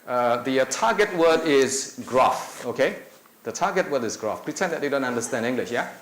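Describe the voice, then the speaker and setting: Middle-aged Indonesian lecturer, native Indonesian speaker, average pitch, chatty with filler pauses; echoey room with faint hiss. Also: male voice, expressive, a bit bright. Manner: conversational, with many filler pauses. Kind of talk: conference talk. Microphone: handheld mic.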